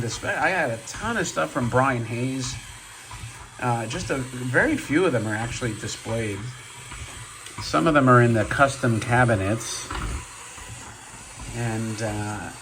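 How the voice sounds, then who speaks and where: A man with a hoarse, cold-roughened voice, hosting a casual show-and-tell vlog and chatting in a rambling way with many asides. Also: medium pitch, noisy background. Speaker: toy robot collector and channel host.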